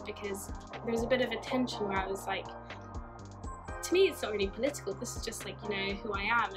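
Young woman from norway, talking softly into her phone, music playing under her. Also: medium pitch. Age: 20s. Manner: soft-spoken, thoughtful, many fillers like "like" and "you know". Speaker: artist. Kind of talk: reflective personal testimony to camera. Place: bright sunlit room, seated. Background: background music.

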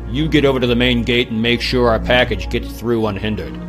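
An actor playing a brusque, ruthless government agent in a video game cutscene, giving clipped orders. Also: male voice, mid-range voice, fairly quick, over music, lightly acted. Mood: curt authority. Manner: clipped, commanding.

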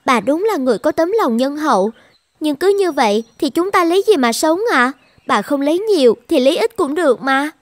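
High-pitched grumbling young granddaughter. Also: female voice, lively intonation, fairly quick, no echo. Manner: complaining, questioning. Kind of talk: animated folktale dubbing.

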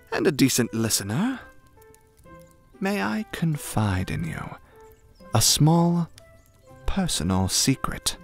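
A man playing a frightening, formal young noble retainer, dry and secretive, with pompous, precise archaic diction, in an ASMR roleplay. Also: on the low side. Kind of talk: ASMR roleplay, scripted character acting.

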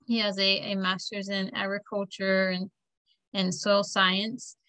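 A woman in her thirties, a farmer and technical assistant from Florida, giving a steady, plain-spoken presentation over a laptop video call. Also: medium pitch, measured pace, dry recording. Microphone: laptop webcam on a video call.

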